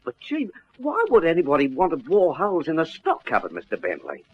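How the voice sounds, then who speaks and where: An actor playing a bemused young shop assistant with a husky voice in a classic radio detective drama. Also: male voice, a little high, wildly swooping pitch, brisk, heavily acted. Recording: muffled vintage radio drama recording.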